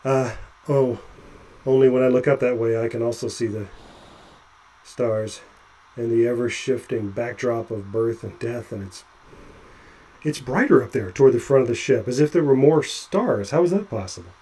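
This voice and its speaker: An actor playing a nervous, chatty male doctor climbing along a ship's hull, halting with stammering restarts. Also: mid-range voice, mellow, close to an everyday voice, low-quality recording. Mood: anxious. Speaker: physician on a spacewalk. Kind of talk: audio drama acting.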